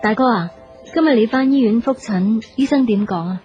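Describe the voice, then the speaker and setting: Woman acting a high-voiced, concerned younger sister. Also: fairly quick, a little mellow, faint music behind, close to an everyday voice. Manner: earnest, conciliatory. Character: concerned, reasonable younger sister in rich family. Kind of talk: Cantonese radio drama acting. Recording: dull radio drama recording.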